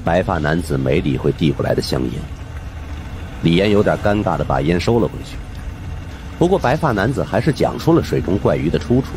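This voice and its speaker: Deep-voiced man narrating, very animated. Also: fairly quick, a little hiss or hum. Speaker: audiobook narrator. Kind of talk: dramatic scripted narration of supernatural suspense fiction. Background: background music.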